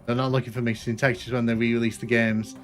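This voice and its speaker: A man's voice: medium pitch, level tone, fast. A man from Northern England with a Manchester accent, chatting casually to viewers while he plays through a game on a live stream, rambling and correcting himself as he goes. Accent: Manchester English. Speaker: gaming streamer. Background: game music.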